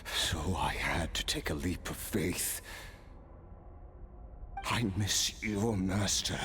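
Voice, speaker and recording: A cursed immortal warrior in a video game, tired and full of regret. His voice is very low and very slow, halting and reflective, with phrases trailing into breath. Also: pushed and bright, slight background noise, heavily acted. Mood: regretful.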